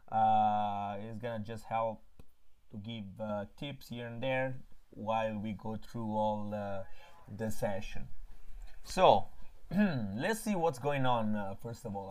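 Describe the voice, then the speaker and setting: A man from Italy with an Italian accent, hosting a casual live stream about crypto trading and pausing often to fill gaps. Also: on the low side. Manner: halting, frequent filler pauses. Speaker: crypto trading channel host.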